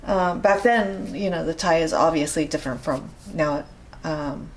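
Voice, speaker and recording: A woman's voice: low-pitched, a little slow, a little hiss or hum, low-quality recording. A middle-aged woman from Japan with a Japanese accent, speaking hesitantly with many fillers as a guest in a casual interview. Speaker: interview guest, rope bondage practitioner.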